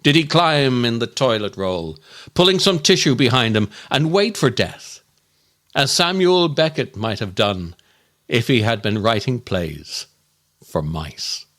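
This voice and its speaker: A man performing a scripted comic monologue as a podcast host, wry and theatrical, with dramatic pauses. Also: mid-range voice, lively intonation, a bit bright.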